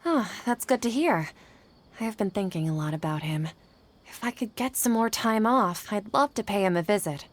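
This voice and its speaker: A woman's voice: mid-range voice, expressive, normal speed, a little hiss or hum, lightly acted. A woman playing an earnest, dutiful young female scholar in a video game, hesitant when pressed. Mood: concerned.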